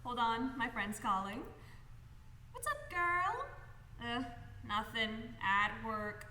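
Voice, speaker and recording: A woman's voice: a little high, very animated, slow pace, sharp tone, heavily acted. An actress playing a ditzy, carefree teenage girl with a vacant drawl and gushing slang in a comic radio sketch. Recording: echoey hall, faint background noise.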